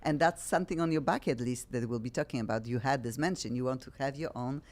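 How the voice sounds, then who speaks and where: A middle-aged woman from Poland with a Polish accent, hosting a talk show in an effusive, animated way. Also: very deep voice, fast. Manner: effusive, bubbly, animated, frequent laughs. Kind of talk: excitable talk show chat.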